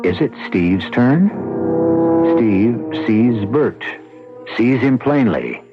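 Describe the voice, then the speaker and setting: A man hosting a radio mystery drama, narrating in a deliberate, suspenseful style with pointed pauses. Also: mid-range voice, expressive. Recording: dull old radio recording with music.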